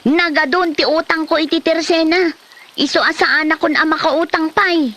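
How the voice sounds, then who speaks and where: Woman acting a high-pitched, nagging wife. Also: steady tone, moderate pace, fairly bright tone. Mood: exasperated. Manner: nagging, complaining. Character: exasperated, scolding wife of a lazy drinker. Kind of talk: Ilocano comedy drama acting.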